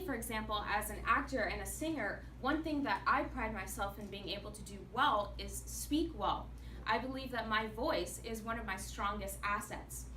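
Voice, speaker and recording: An animated woman, an actor and singer, giving a prepared speech to a club audience in a meeting room. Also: fairly high pitch. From United States. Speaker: public speaker, actor and singer. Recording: echoey room, mic far off, faint noise.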